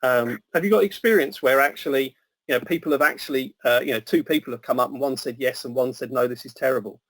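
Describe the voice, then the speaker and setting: A man's voice: medium pitch, quick pace, a bit bright, poor audio. A man hosting a webinar, asking long questions in a hesitant, rambling way, heard over a video call. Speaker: webinar host. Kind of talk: interviewer questions.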